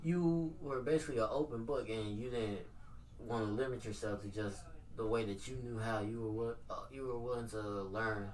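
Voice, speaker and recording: A man hosting a casual podcast interview, recapping and asking questions in a halting way, rephrasing as he goes. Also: fairly low pitch, fairly even intonation. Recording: distant mic, noisy background ambience.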